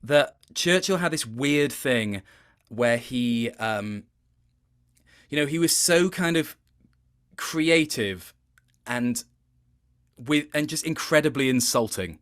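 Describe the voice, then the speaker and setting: A man giving a casual interview as a voice actor, thoughtful and halting, often interrupting himself. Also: mid-range voice, lively intonation, a bit bright, clean tone.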